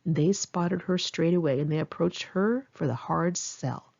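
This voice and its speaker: Brief: middle-aged woman author, lowish voice, frank and wry memoir read, slightly dull home recording. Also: unhurried, vocal fry, a little mellow. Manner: candid, swearing, wry storytelling. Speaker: author reading her memoir. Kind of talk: one-take memoir audiobook reading. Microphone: home microphone.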